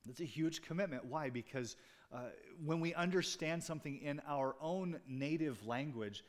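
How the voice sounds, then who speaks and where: A man's voice: a little high, normal speed. A man preaching a sermon as pastor, talking conversationally with asides and jokes to his congregation. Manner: restarts and fillers, speaking off the cuff.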